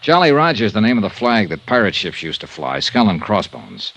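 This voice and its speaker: A hard-boiled insurance investigator in an old radio mystery, speaking in a fairly low, clipped voice. His delivery swings widely in pitch as he cracks wise and presses for answers. A clear hiss from the old broadcast recording sits underneath. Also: sing-song, a little fast, a bit bright, low-quality recording. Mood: pushy. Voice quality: wisecracking, clipped. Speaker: narrator and lead actor of radio drama. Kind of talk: 1950s radio detective narration.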